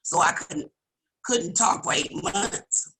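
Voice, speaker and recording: Slightly raspy woman pastor, bright voice. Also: fairly low pitch, slight background noise, low-quality recording. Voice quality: slightly raspy, speaking through a vocal cord implant. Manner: earnest, prayerful, halting restarts. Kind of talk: virtual audience member sharing testimony. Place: home video call. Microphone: video call.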